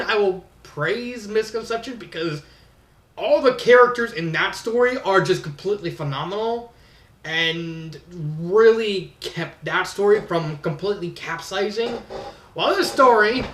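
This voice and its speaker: A man's voice: sits high, expressive, measured pace, fairly bright tone. A man giving a casual, rambling spoken review in an exasperated, emphatic way. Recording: roomy echo, distant from mic.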